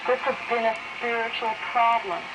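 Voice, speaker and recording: A man's voice: very high-pitched, little pitch movement, normal speed, recorded from a distance. A man preaching a sermon, heard over shortwave radio. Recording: noisy shortwave radio reception.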